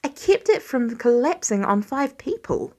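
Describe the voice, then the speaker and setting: A woman's voice: fairly high pitch, very animated, measured pace, a little mellow. Woman doing a frustrated teenage boy in an audiobook, mumbly and grumbly. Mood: dejected, defensive. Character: sulky, frustrated teenage boy, caring older brother.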